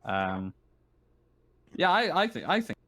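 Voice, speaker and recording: A man's voice: on the high side, wildly swooping pitch, slow, bright, forceful tone. A man running a tabletop horror game as game master, narrating off the cuff and often repeating or correcting his phrases.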